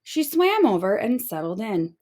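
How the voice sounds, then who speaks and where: A woman narrating a children's storybook aloud in an expressive storytelling manner. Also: medium pitch, normal speed. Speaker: storybook reader.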